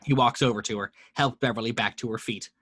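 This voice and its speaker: A man's voice: mid-range voice, fairly even intonation, fast, fairly bright tone, breathy edge, no room sound. A man reading the action lines of a screenplay aloud at a home table read, steadily, voicing the sound effects. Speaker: narrator reading action description.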